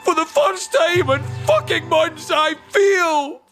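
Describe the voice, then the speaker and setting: Troubled lonely young man, dramatic monologue, slow halting delivery over prominent music. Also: very high voice, fairly bright tone, exaggerated voice. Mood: desperate, frantic. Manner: deliberate, halting short phrases. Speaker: actor and narrator. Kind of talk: dramatic audio play monologue.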